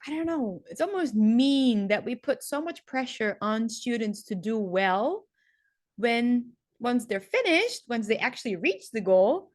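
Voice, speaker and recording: A woman's voice: a little high, animated, unhurried, no echo, studio quality. A young adult woman, a teacher, talking casually and reflectively, full of "like" and "um". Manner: chatty, loose, with frequent "like" and "um".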